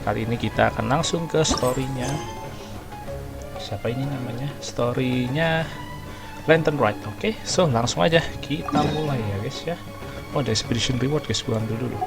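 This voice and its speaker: A man's voice: mid-range voice, fairly quick, gravelly. An informal, rambling man doing casual gaming commentary. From Indonesia. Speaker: gaming streamer. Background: game music.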